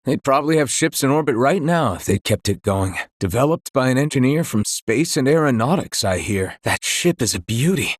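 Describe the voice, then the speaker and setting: A male actor plays a casual game townsman with an everyday, mid-pitched voice. He sounds assured and matter-of-fact, in a dry, close recording. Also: lively intonation, moderate pace, hardly any pauses, dry recording, close to an everyday voice. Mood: assured, chatty.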